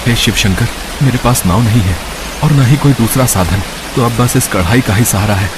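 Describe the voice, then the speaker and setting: A man voicing a kind, humble young village woodcutter in an animated story, speaking earnestly and devoutly. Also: medium pitch, normal speed, background noise, close to an everyday voice.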